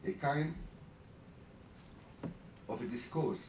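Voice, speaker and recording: A man, a writer, speaking as a panelist, giving a measured, formal speech in a lecture hall. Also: medium pitch, expressive. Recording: distant mic in an echoey hall with noticeable hiss.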